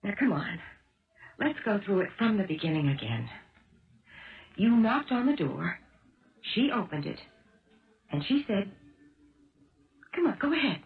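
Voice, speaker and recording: A woman's voice: mid-range voice, sing-song, measured pace, pauses often. A woman playing a dogged, insistent amateur sleuth in a 1970s radio drama, pressing and emphatic, on a muffled old broadcast. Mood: pressing, urgent.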